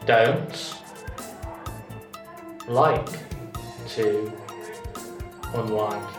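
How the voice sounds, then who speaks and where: Slow, deadpan man in a roomy space. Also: fairly low pitch. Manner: deadpan, halting. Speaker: comedian guest. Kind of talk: radio quiz answers. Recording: roomy echo under loud music.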